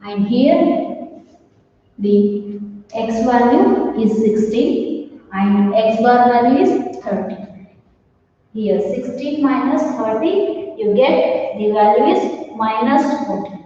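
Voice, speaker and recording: A woman with an Indian accent giving a college maths lecture in a halting, repetitive, step-by-step way. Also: on the high side, animated, dark tone. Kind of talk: maths lecture, working through calculations. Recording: echoey room, distant mic, muffled, noisy.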